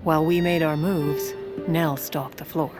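A woman's voice: low voice, fairly even intonation, a little slow, over loud music. An actress playing a desperate, scheming First Lady in an audio drama, intense, pleading and defensive. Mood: wry narration. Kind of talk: audio drama acting with first-person narration.

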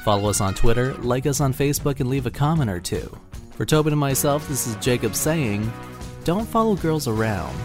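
A man's voice: mid-range voice, expressive, brisk. A man reading a scripted podcast outro as the show's announcer. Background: guitar music.

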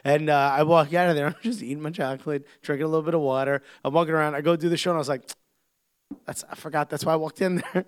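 A man's voice: a little high, fast, clean tone, dry recording. A man from New York, a podcast host, telling stories in casual chat with lots of laughter. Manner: animated storyteller, laughs a lot.

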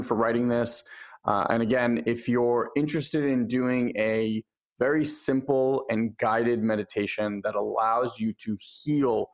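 A man hosting a podcast who introduces guests and promotes products in an upbeat, enthusiastic manner. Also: medium pitch. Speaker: podcast host. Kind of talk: enthusiastic show host.

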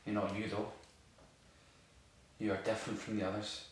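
Audio play: male actor as zealous, conspiratorial activist, low grave voice, distant in a very echoey room. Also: level tone, normal speed, a little hiss or hum, natural voice. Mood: intense, urgent. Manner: grave, insistent, persuasive. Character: intense, forceful eco-militant man, zealous and conspiratorial. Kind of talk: naturalistic audio drama dialogue.

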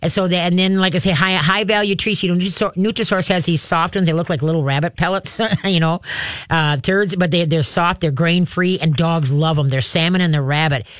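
A woman's voice: lowish voice, steady tone, a little fast, no room sound, clean studio sound. A woman hosting a call-in radio show about dog training, chatty and upbeat, slipping into cheerful sing-song voices. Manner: chatty, upbeat, acts out cheerful baby-talk to dogs.

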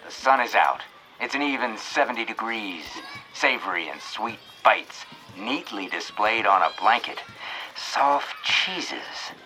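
An actor playing a folksy, grandiose old-time radio announcer with theatrical delivery. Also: male voice, lowish voice, animated, unhurried, pushed and bright, soft background music, low-quality recording. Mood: serene, savoring.

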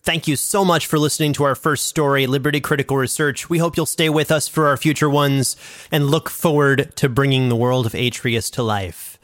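A man hosting an audio drama podcast, reading a brisk scripted sign-off. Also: mid-range voice, fairly even intonation, a bit bright, no room sound, studio quality. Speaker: podcast host. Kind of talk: scripted outro announcement.

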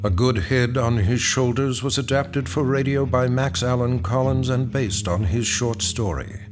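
A man announcing a radio drama program in a measured, formal delivery. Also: medium pitch. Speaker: host and announcer. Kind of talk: scripted announcing. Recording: music behind.

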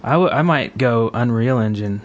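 A man with an average-pitched voice talks casually as a podcast co-host. He is easygoing and agreeable, working out his thoughts aloud in loose conversation. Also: normal speed. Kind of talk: casual gaming podcast chat.

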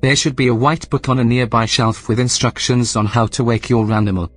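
A man voicing an unflappable, literal computer assistant in a deadpan, matter-of-fact way for an audio drama. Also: medium pitch, a little fast, faint music behind, natural voice.

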